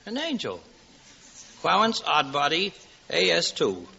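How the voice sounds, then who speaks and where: A man's voice: on the high side, huge pitch swings, measured pace, creaky, sharp tone, a little hiss or hum, heavily acted. A man playing an eager, pompous, eccentric angel with florid, formal diction in a 1940s radio drama. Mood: earnest, matter-of-fact. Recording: dull 1940s radio, long hall reverb, music.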